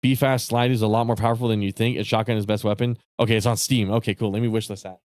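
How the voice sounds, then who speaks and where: A man chatting casually with viewers on a live stream, rambling and swearing with lots of fillers, his voice starting to go raspy. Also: on the low side, little pitch movement, fast talker, a little mellow, no echo, studio quality. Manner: rambling, profane, many fillers and restarts. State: voice getting raspy.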